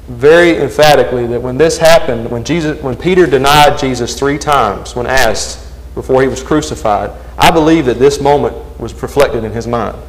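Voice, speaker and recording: A man's voice: mid-range voice, low-quality recording. A man preaching a sermon, earnest and emphatic, often asking his listeners "right?".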